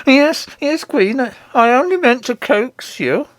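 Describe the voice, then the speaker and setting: A man's voice: very high voice, animated, measured pace, fairly bright tone, dry recording, voice pushed up, exaggerated voice. A man voicing a pompous, henpecked goblin king with a dignified but coaxing manner for a storybook. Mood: pleading.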